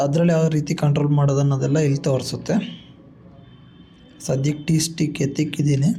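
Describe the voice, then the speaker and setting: A man's voice: fairly high pitch, gravelly, mellow, breathy edge. A man with a Kannada accent giving a casual step-by-step product walkthrough as a vlogger, explaining and mixing in English tech words. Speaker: vlogger demonstrating a gadget.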